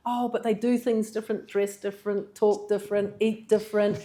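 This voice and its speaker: A woman, telling stories as a guest in a relaxed interview. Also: medium pitch, a little fast. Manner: chatty, animated storyteller. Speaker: charity co-founder, guest. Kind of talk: casual interview storytelling.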